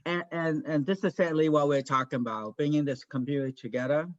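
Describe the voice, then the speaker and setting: A man hosting an informal online group meeting, speaking in a halting, encouraging way over a video call. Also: on the high side. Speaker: meeting host. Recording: muffled, echoey video call audio.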